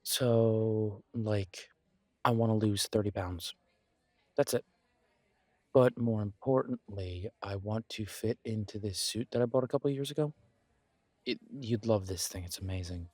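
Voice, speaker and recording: A low male voice, close and dry, a man acting a friendly homebody best friend in a roleplay audio. He talks very slowly and casually, in hesitant phrases, reassuring and gently encouraging the listener. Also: dry recording. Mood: gentle, reassuring. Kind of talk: intimate roleplay audio, one-sided casual conversation.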